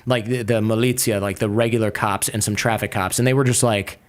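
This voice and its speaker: A young adult man telling a travel story in a casual chat, animated and acting out the people he quotes. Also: brisk. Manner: animated, acts out quoted voices with raised pitch.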